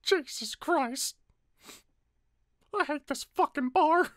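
Lady doing a super high little cartoon flower, bitter and sweary, sarcastic, really dry close sound. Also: slow, no echo. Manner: sarcastic, cursing, stammering when cornered. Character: small bitter foul-mouthed talking flower, emotionally numb and angry. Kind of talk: voice-acted fan roleplay drama.

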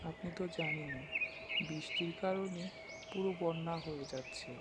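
A man's voice: fairly high pitch, normal speed, heavy background noise, natural voice. A man playing a worried, humble village husband in a cartoon, earnest and pleading. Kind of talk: Bengali cartoon character voice acting.

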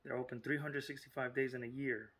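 Guy talking casually to his viewers, lots of "you know" and "look", normal middle pitch. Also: level tone, fast, fairly bright tone, slightly rough, poor audio. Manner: chatty and informal, lots of fillers and asides. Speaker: vlogger. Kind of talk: casual vlog narration.

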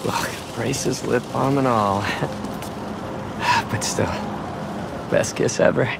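A man's voice: mid-range voice, very slow, vocal fry, very noisy. A man playing an affectionate, bemused young student in a video game, fondly wondering aloud. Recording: loud music over the voice. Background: loud game music.

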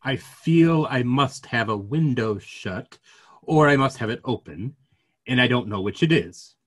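A man performs a troubled clergyman in a period stage play. He is middle-aged, with a raspy voice and very slow, solemn delivery that rises and falls expressively. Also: mid-range voice, lively intonation. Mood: distressed. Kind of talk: online play reading.

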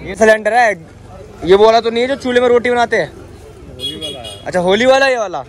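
A man's voice: high voice, animated, a little fast. A young man filming a casual vlog on his phone as he walks a busy outdoor street market, chatting with sellers and repeating each price to the camera. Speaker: vlogger. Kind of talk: handheld market walkthrough vlog. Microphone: phone held at arm's length.

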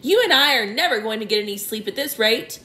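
A woman's voice: a little high, animated, quick pace, a little hiss or hum. A woman voicing a boastful, cheeky male centipede in a storybook reading. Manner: loud, gleeful and boastful.

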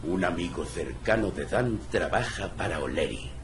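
Noir game cat detective, deep and creaky, blunt and weary, lively delivery. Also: male voice, normal speed. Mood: businesslike. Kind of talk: video game voice acting, noir.

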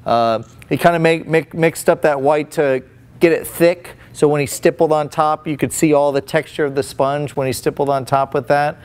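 Chatty man explaining face painting, smooth voice. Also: fairly high pitch, fairly even intonation, normal speed, darkish tone. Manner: chatty, folksy, many restarts. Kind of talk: casual demonstration commentary.

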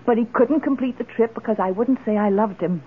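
High-voiced, tart older widow played by a woman. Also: a little fast, a little hiss or hum, lightly acted. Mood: confiding, resolute. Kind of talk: old-time radio drama acting. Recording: muffled old radio broadcast.

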